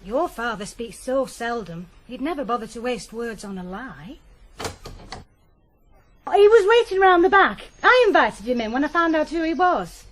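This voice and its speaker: A woman playing a brisk, forthright working-class housewife in a radio drama. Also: high-pitched, animated, fairly bright tone, slight background noise. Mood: hospitable. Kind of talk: 1980s British radio drama acting.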